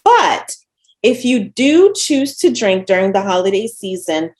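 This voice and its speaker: A woman's voice: medium pitch, sing-song, a little slow, darkish tone. A woman from Jamaica with a Jamaican accent, a dietitian giving an upbeat, friendly webinar presentation over a video call.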